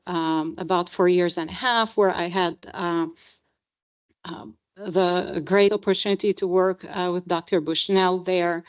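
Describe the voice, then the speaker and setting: Unhurried woman, hesitant speaker. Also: on the low side, clear, resonant tone, muffled recording. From Lebanon. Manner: hesitant, frequent ums and uhs. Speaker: neurologist panelist. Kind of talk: conference panel talk.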